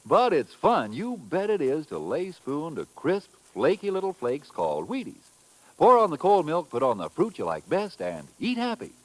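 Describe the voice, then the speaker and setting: Male pitchman, medium pitch, hugely varied intonation, emphatic, folksy, vintage radio advertisement, dry sound. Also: wildly swooping pitch, normal speed, no room sound, poor audio. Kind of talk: 1950s radio commercial, folksy hard sell.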